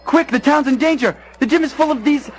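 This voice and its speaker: An actor playing an earnest, excitable young man in a storybook record dramatization. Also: very high-pitched, a little fast, a little hiss or hum. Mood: alarmed, urgent. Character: excitable earnest young man, brave but alarmed hero. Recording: music behind the voice.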